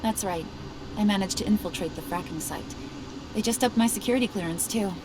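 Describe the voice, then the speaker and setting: A woman's voice: medium pitch, a little fast, lightly acted. A young woman playing a wounded, defiant activist and estranged daughter in a video game cutscene, firm and intense. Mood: resolute. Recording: loud ambient noise behind voice.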